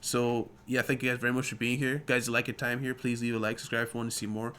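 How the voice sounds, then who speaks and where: A man's voice: mid-range voice, flat delivery, fast, sharp tone, a little hiss or hum. A man hosting a casual podcast, chatty and teasing as he wraps up the episode. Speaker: podcast host. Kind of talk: casual podcast chat and sign-off.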